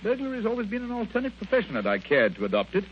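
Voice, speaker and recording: A fast-talking, animated British gentleman detective in a vintage radio drama. He is sardonic, commanding and theatrical, with a voice pitched a little high. The sound is dull and old. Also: slight background noise. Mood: breezy. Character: brisk, self-assured, sardonic gentleman detective. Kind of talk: mid-century radio drama acting.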